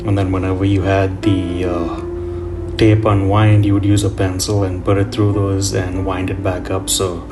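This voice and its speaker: Low, even-toned man over guitar music. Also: moderate pace. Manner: nostalgic, reflective. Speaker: host of a drawing tutorial channel. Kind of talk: casual rambling voiceover.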